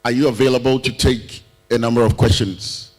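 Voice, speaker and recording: A man moderating an online session, announcing slowly and repeating himself. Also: mid-range voice, steady tone, a little mellow. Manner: slow and repetitive, announcing.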